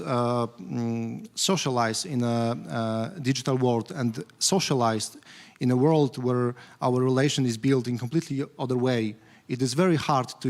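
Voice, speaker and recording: Halting Polish-accented man on a panel. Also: medium pitch. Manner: halting, many "uh" and restarts, non-native grammar. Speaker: panel speaker. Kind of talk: panel discussion remarks.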